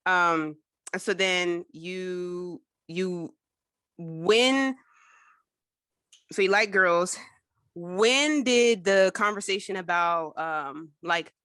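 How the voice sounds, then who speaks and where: A woman hosting an interview podcast, talking in an upbeat, casual and lively way. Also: on the low side, drawn-out words, pauses often, smooth, no room sound. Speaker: podcast host. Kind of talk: conversational interview.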